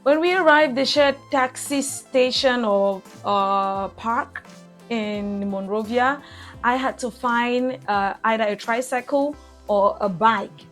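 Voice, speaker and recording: A woman's voice: on the high side, takes it slowly, a bit bright, faint music behind. A young woman with a Cameroonian accent, recapping her travels in a chatty vlog with fillers and restarts. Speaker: travel vlogger.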